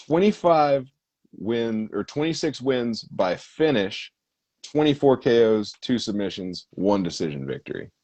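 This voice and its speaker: A young man co-hosting a podcast in casual chat, excitable and quick to rattle off stats. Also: medium pitch, no echo. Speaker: podcast co-host.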